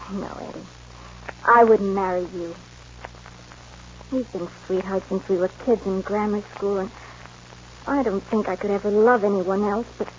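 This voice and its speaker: An actress playing a principled, firm young rancher's daughter in a 1940s radio western. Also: female voice, mid-range voice, a little mellow, lightly acted. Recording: dull, noisy old radio recording.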